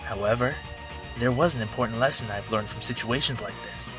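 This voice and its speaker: A man's voice: mid-range voice, fairly quick, natural voice. Man acting wry wolf warrior, music behind. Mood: wry narration. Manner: wry, casual. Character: sardonic wolf-man drifter, ex-warrior with claws and axe. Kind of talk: first-person narration and acting in audio drama.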